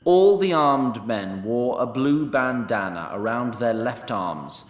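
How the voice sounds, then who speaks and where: A man's voice: mid-range voice, animated, slow pace. Wry male narrator in a roomy space. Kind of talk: scripted fantasy story narration.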